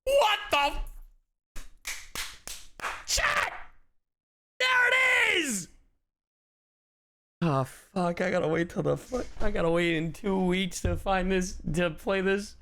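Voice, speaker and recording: A man livestreaming casual reaction commentary, slangy, sweary and excitable. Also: sits high, takes it slowly, pauses often, slight background noise. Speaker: streamer.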